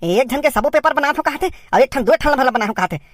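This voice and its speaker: A woman's voice: high-pitched, expressive, fast talker, noisy background. A woman voicing a strict, nagging village parent in a comic cartoon, with a Chhattisgarh accent and an exasperated, questioning delivery. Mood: scolding.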